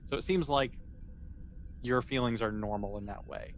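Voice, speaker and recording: A man running a tabletop sci-fi game as game master, improvising narration and explaining in an informal, halting way with self-corrections. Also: medium pitch, faint music behind. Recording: clearly noisy recording.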